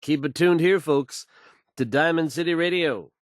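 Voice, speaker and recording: A man's voice: on the high side, normal speed, no echo, clean studio sound, lightly acted. An actor playing a confident, easygoing radio newsman in a video game, suave and wry, with a polished, folksy delivery. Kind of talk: smooth scripted radio news delivery, video game voice acting.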